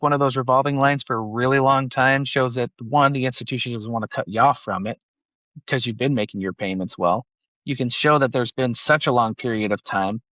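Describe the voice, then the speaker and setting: A man's voice: mid-range voice, a bit bright, dry recording, dull audio. A man in his forties from Utah, a banker chatting as a guest in a casual interview, rambling with lots of filler words. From Utah, USA. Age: 40s. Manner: rambling, filler-heavy, many "like"s.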